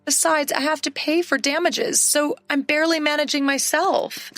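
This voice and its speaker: A woman's voice: sits high, fairly even intonation, moderate pace, a bit bright, no echo, faint music behind, voice pushed up. A woman doing a greedy cheating husband. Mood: pleading. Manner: pleading, blustering.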